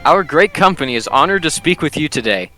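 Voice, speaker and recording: A man's voice: on the high side, animated, brisk, bright, forceful tone, soft background music. A man playing a proud, formal company spokesman in a 1920s-style radio advert. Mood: proud, promotional. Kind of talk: 1920s radio commercial read.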